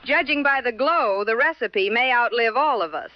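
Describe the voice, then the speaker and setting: A woman playing a dry, deadpan co-host on a 1960s radio show. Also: fairly high pitch, normal speed, dry recording, lightly acted. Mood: dryly amused. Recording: dull lo-fi vintage radio sound.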